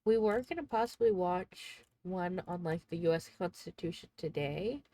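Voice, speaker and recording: A woman's voice: lowish voice, lively intonation, moderate pace, dark tone, no echo. A young woman in her twenties streaming from home, congested and sniffly, chatting in a rambling, casual way. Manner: rambling, chatty, thinks aloud. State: congested, sneezing. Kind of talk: casual livestream chat.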